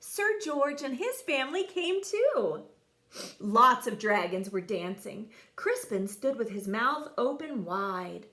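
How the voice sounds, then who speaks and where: A woman reading a children's story expressively, with theatrical delivery and dramatic pauses. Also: fairly high pitch, very animated, bright, forceful tone. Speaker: storybook reader.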